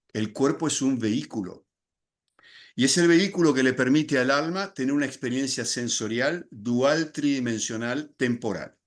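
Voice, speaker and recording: Older Argentine man, podcast guest, reflective and explanatory delivery with frequent restarts. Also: mid-range voice. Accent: Argentine Spanish. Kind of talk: podcast interview.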